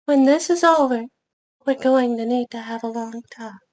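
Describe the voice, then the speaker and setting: Woman playing a high-voiced, solemn celestial goddess. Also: moderate pace, a little mellow, natural voice. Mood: grave. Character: ancient celestial goddess, grave and commanding. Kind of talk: amateur table read of a fantasy script.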